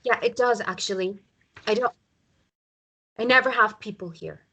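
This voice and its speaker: A woman's voice: a little high, moderate pace, bright, forceful tone, slightly rough, muffled recording. Woman playing irritable rural mother, harried and grudgingly hospitable, drama table read. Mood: reluctant, annoyed.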